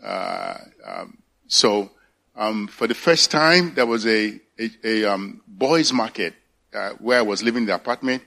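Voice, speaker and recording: A man in his sixties with a Nigerian accent, giving a halting personal faith testimony at a church service. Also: fairly low pitch, creaky, bright, forceful tone. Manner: halting, searching for words. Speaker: church elder candidate.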